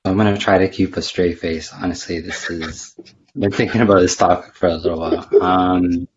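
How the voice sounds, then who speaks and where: Low-voiced man, amused and chuckling. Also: level tone, normal speed, highs cut off, slight background noise. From Somali descent. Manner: amused, laughs while listing examples. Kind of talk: casual podcast chat.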